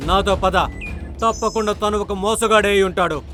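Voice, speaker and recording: A man voicing a proud, indignant cartoon lion king who speaks forcefully. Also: high voice, steady tone, moderate pace. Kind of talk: children's cartoon story dubbing. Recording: music bed behind the voice.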